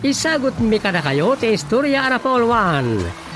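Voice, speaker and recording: High-pitched, theatrical male radio announcer, Ilocano accent. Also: big pitch swings, moderate pace, over music. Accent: Ilocano Filipino. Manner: emphatic, theatrical delivery. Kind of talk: dramatic scripted radio announcing.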